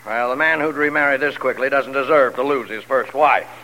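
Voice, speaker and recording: A man's voice: mid-range voice, a little fast, pushed and bright, lightly acted. A man playing a crotchety, flustered older judge in 1940s radio comedy style, blustering and exasperated. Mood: flustered, disapproving. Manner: blustering, exasperated, with muttered hesitations. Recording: noisy vintage radio broadcast recording.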